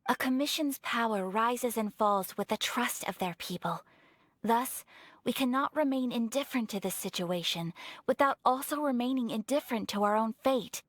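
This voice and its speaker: Young highborn lady for a game: polite, formal, earnest, lightly high voice, dry sound. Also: moderate pace, fairly bright tone, no echo. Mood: solemn, gracious. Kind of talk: video game voice acting.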